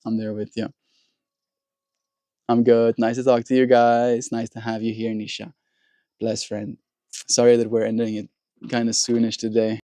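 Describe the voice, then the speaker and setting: Gentle Afrikaans-speaking man, dry close sound. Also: medium pitch, level tone, moderate pace, long pauses, no echo. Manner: gentle, warm-hearted sign-offs with soft blessings. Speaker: live stream host. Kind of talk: reading a poem aloud and chatting with viewers.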